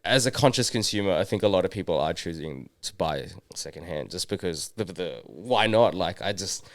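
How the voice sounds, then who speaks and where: A young Australian man chatting casually as a guest, relaxed, full of fillers and "man". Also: deep voice, brisk, vocal fry, no room sound, studio quality. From Brisbane, Australia. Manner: laid-back, lots of fillers, says "man" often. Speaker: market co-owner, guest. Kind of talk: casual interview chat.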